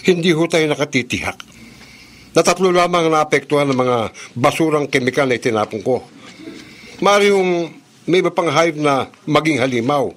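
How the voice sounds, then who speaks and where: An actor playing a guilt-ridden young scientist in a Filipino horror radio drama, earnest and troubled, with a Filipino accent. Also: male voice, on the high side, moderate pace, long pauses, a bit bright. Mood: grave foreboding. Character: guilt-ridden son, amateur chemical experimenter.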